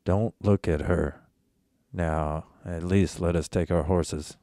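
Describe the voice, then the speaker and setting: A man acting as a western rancher and father with a low voice and a soft, dark tone. He is gruff, steady and protective, giving terse orders as in an audio drama. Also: takes it slowly. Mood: guarded, firm. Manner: terse, commanding.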